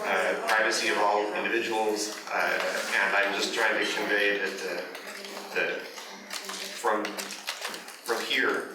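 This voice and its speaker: A man chairing a school board meeting, halting with many fillers and restarts. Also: fairly low pitch, noisy background. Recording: echoey room from far off, crowd.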